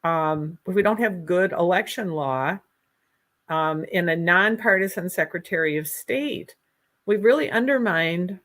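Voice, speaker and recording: Minnesota-accented woman, lowish voice. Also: a bit bright. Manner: earnest, halting with many ums and restarts. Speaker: candidate for Secretary of State. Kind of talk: political interview, conversational.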